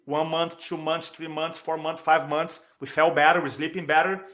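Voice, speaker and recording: Higher-pitched man with a Brazilian accent. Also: level tone, moderate pace, a bit bright. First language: Portuguese. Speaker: guest speaker and coach. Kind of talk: conversational personal storytelling.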